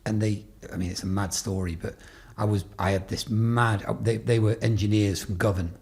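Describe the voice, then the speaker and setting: Gravelly-voiced man hosting a podcast. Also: on the low side, steady tone, normal speed, a little mellow. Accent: faint Glaswegian, mostly lost, Stoke-on-Trent upbringing. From Scotland. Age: 40s. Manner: rambling, anecdotal, many restarts and fillers. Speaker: podcast host. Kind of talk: casual conversational interview.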